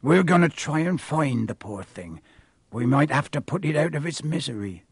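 A man voicing a gruff, fierce but big-hearted gamekeeper in an audiobook, with blunt, rustic, growling speech. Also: a little high, lively intonation, moderate pace, no echo, clean studio sound. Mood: cautioning.

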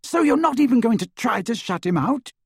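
A man's voice: very high-pitched, very animated, fairly quick, creaky, slightly rough, dry recording, clean studio sound, pitched above the performer's own voice, full character voice. A man voicing an earnest, bossy teenage girl in an audiobook, urgent and scolding in manner. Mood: exasperated scolding.

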